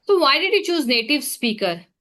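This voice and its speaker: A woman, interviewing in a casual conversation, asking probing questions and gently making her case. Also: high voice, big pitch swings, moderate pace. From India.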